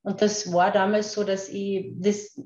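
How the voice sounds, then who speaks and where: Middle-aged woman, Carinthian Austrian accent, unhurried and hesitant, dull roomy call audio. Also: medium pitch, flat delivery, a little mellow. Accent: Carinthian Austrian German. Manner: slow, halting, searching for words. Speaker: guest, kinesiologist. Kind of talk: casual interview talk.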